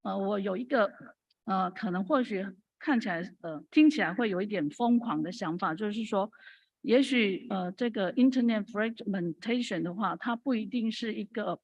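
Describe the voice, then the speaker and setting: A woman's voice: medium pitch, normal speed, darkish tone, no room sound, highs cut off. Woman, hesitant audience speaker, dry close mic. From Taiwan. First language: Mandarin Chinese. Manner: hesitant, many fillers. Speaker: audience member speaking from the floor.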